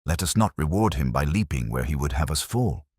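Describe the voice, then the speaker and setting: A man voicing a coolly analytical, self-assured detective in a storybook mystery, speaking precisely and deliberately. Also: rumbling low, lively intonation, a little mellow, slightly rough, no room sound, studio quality, heavily acted. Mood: probing, restrained.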